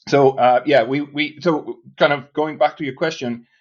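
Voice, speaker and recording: A man from Iceland, for whom English is not a first language, talks through his answers haltingly, with frequent "uh"s and restarts. His voice sits a little higher than most men's. The recording sounds slightly dull and muffled. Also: fairly even intonation. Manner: hesitant, many restarts and uhs. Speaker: guest, startup founder. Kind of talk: casual interview talk.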